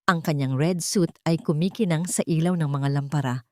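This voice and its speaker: A woman's voice: deep voice, normal speed, no echo, studio quality. A woman with a Filipino accent narrating a scripted dramatic story in the first person.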